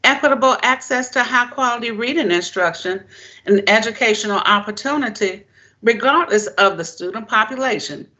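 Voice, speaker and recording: A woman giving a formal prepared keynote speech as a presenter, in deliberate, emphatic, measured phrases. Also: on the high side, pushed and bright, lo-fi sound. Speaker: presenter, education keynote speaker.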